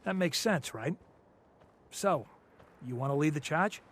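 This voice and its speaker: A high-pitched, defiant militia fighter. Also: male voice, animated, unhurried, a bit bright. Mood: angry, urgent. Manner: blunt, colloquial, challenging. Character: defiant, combative male militia fighter, blunt and suspicious. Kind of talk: video game voice acting.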